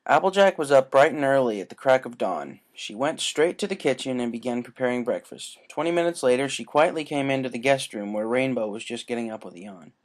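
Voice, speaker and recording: Someone reading a fan story out loud, expressive, medium pitched voice. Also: a little fast, hardly any pauses. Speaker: story narrator. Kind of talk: expressive scripted fan-fiction narration.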